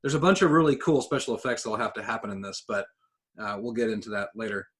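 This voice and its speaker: A man co-hosting a podcast, talking in an enthusiastic, informal way as he pitches his ideas. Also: fast talker, a bit bright. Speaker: podcast co-host. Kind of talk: casual podcast chat.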